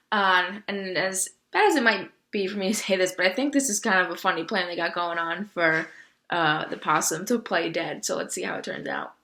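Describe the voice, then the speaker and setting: A woman's voice: mid-range voice, bright, forceful tone. A woman reading a children's story aloud and explaining it in casual commentary. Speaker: reader commenting on the book.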